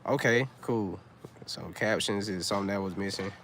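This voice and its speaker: A man's voice: on the low side, moderate pace, fairly bright tone, a little hiss or hum. A man in his thirties chatting casually on a livestream, laid-back and rambling, full of fillers and slang. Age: 30s. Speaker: vlogger on a livestream.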